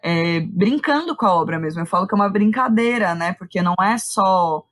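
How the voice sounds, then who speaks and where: A woman's voice: mid-range voice, expressive, brisk, a bit bright. A woman with a Brazilian accent explains her work as a guest in a casual, reflective interview, often checking in with small tag questions. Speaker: interview guest, playwright and director.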